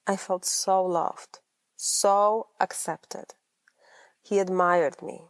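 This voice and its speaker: A woman's voice: on the low side, no room sound. A woman in her thirties from Poland with a Polish accent, hosting her own podcast as a life coach in an earnest, personal way with rolled R's. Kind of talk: scripted podcast monologue.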